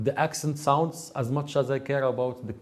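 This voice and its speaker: A man with a Moroccan accent answers questions in a studio interview, earnest and insistent. He often stumbles and restarts mid-sentence before landing his point. His voice sits at an ordinary middle pitch. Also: steady tone, normal speed, a loud crowd. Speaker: guest.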